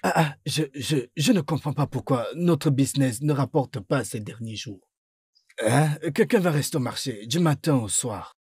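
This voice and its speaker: A man's voice: medium pitch, moderate pace, darkish tone, slightly rough, no echo, clean studio sound. A man dubbing a stammering, complaining middle-aged trader in a film. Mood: grumbling. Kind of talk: French film dub.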